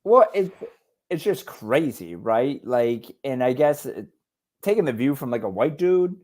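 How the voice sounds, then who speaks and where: A man's voice: medium pitch, sing-song, measured pace, darkish tone, a little hiss or hum. A man co-hosting a casual podcast, talking bluntly and with heavy swearing as he rants. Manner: blunt, profane, fired-up ranting. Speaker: podcast co-host.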